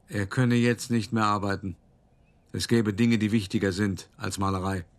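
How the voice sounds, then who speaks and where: Older art dealer, low voice. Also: male voice. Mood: aggrieved. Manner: measured, cultivated storytelling with dramatic pauses. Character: old art dealer recounting his past, indignant, self-justifying. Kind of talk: radio play monologue, reminiscing narration.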